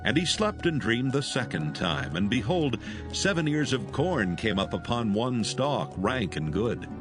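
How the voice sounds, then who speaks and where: A man's voice: medium pitch, big pitch swings, unhurried. A man narrating scripture as a dramatized reading, in a solemn and formal manner. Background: soothing background music.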